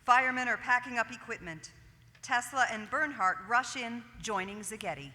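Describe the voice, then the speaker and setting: A woman's voice: a little high, normal speed, bright, forceful tone. A woman reading stage directions as scripted narration in a theatre. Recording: echoey theatre hall from the stage.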